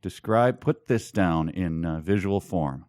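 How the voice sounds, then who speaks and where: A man's voice: low-pitched, unhurried, a little mellow, no room sound. A man co-hosting a casual book discussion, talking and reading aloud with dry, mock-serious humor. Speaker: podcast co-host.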